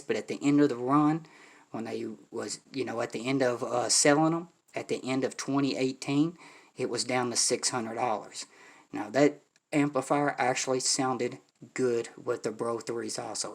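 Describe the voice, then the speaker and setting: A man with an American accent and a medium-pitched voice presents a gear review. He talks in a folksy, conversational way. His delivery is informal and addressed straight to his viewers, as a vlog host would do. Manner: folksy, chatty, addresses viewers as "you all". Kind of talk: casual product review vlog.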